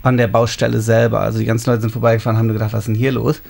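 A man's voice: mid-range voice, little pitch movement, creaky, darkish tone. German man in his thirties explaining. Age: 30s. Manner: explanatory, unhurried, describing details. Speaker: interviewee, homeowner. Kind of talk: casual interview answers.

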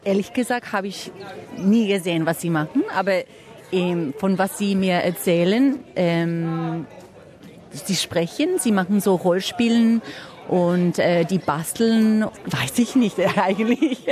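A woman's voice: on the low side, unhurried, a little mellow. Woman speaking hesitant learner German. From Australia. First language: English. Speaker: interviewed parent. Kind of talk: casual interview answers.

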